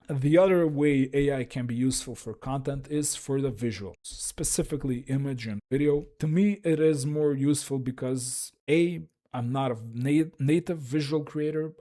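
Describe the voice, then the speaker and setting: Russian-accented man, mellow and dark, self-deprecating creator talking straight to camera. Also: mid-range voice. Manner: frank, wry, self-deprecating. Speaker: YouTube creator. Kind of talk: solo explainer talk.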